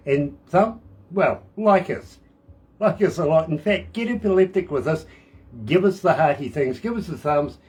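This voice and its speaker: Kiwi radio dj guy, husky voice that swings up and down, hyped and repeats himself a lot. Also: on the high side, darkish tone. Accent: New Zealand. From New Zealand. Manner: enthusiastic, repeats phrases for emphasis. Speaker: radio host. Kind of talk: upbeat radio show hosting.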